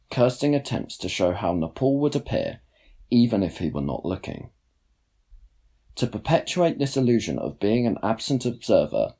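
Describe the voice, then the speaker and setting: A young man reading a scripted essay aloud in a measured, formal manner. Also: sits low, gravelly, mellow, breathy edge. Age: young adult. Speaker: student writer reading own blog post. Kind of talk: scripted narration, read aloud.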